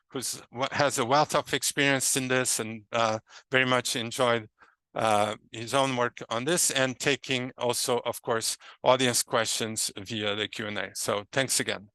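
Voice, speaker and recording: Bright, crisp lecturer from Brazil. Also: male voice, mid-range voice, no echo, poor audio. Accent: Brazilian Portuguese. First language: Portuguese. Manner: hesitant, many fillers and restarts. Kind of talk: academic lecture.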